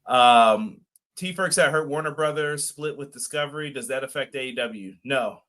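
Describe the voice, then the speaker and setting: A man's voice: mid-range voice, moderate pace, fairly bright tone. A man co-hosting a live wrestling podcast, chatting casually, reading viewer comments aloud and laughing often. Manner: laid-back, reads chat comments aloud, laughs often. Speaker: podcast co-host. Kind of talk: casual live-stream chat.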